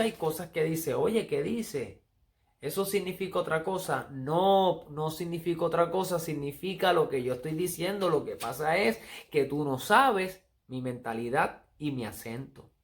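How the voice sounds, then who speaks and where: A man with a Puerto Rican accent giving an earnest Bible study sermon and reading verses aloud. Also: a little high. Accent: Puerto Rican Spanish. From Puerto Rico. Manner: earnest, teaching, reads verses aloud slowly. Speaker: preacher.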